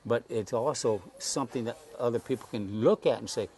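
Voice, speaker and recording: A man in his eighties, a guest on a talk show, speaking slowly and haltingly and repeating words as he searches for them. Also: mid-range voice, a little mellow. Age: 80s. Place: Saipan.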